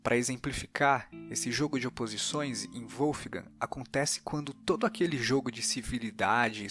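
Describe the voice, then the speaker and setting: A man's voice: medium pitch, a bit bright. A man with a Brazilian accent hosting an interview podcast, giving reflective, analytical commentary. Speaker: podcast host interviewing a playwright.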